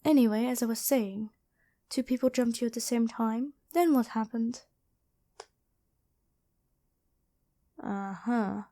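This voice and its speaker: A woman playing a gentle, dutiful angel medic in an ASMR roleplay, speaking soothingly and caringly to one listener. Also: on the high side, moderate pace, natural voice. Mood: calm, curious.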